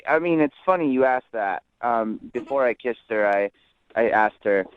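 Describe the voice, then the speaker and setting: A man's voice: mid-range voice, lively intonation, moderate pace, smooth, no echo. A male caller on a narrow, tinny phone line with a mid-range voice and no room sound. He talks casually and earnestly, stumbling and repeating words as he goes.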